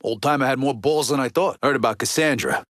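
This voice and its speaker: An actor playing a gruff, impatient middle-aged American mob underboss in a video game, with blunt, profane, streetwise talk. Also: male voice, medium pitch, brisk, fairly bright tone, dry recording, studio quality, lightly acted. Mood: guarded, businesslike. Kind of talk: video game dialogue acting.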